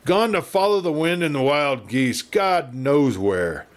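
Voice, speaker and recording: A man's voice: a little high, expressive, unhurried. A man voicing an educated doctor in a western audiobook, politely evasive, with strained composure. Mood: hysterical outburst.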